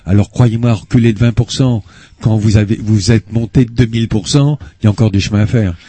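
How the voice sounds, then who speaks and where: Older man, dark husky voice, measured. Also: lowish voice, steady tone, dry recording. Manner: measured, hesitant with frequent "euh", explanatory. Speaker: spokesman of an environmental association, radio guest. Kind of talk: earnest radio interview answers. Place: radio studio. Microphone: studio microphone.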